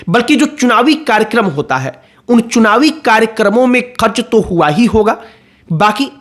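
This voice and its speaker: A man's voice: high voice, fairly bright tone. A man giving explanatory political commentary, going point by point and repeating phrases for emphasis.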